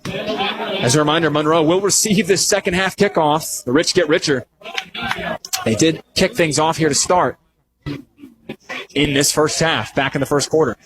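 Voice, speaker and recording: A man doing live radio play-by-play of a football game, descriptive and conversational, getting animated on big plays. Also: a little high, moderate pace, pauses often, crowd noise, rough recording. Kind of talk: live radio sports commentary.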